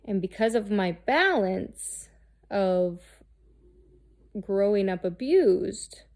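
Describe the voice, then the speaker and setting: A woman in her thirties, talking candidly and haltingly about herself, restarting often and swearing freely. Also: medium pitch, lively intonation, darkish tone. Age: 30s. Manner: halting, searching, with many restarts and fillers. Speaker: host. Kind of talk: candid personal monologue.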